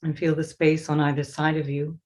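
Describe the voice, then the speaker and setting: Woman guiding meditation in an even tone. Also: sits low. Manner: slow, soothing instruction with pauses. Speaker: meditation teacher. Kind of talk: guided meditation.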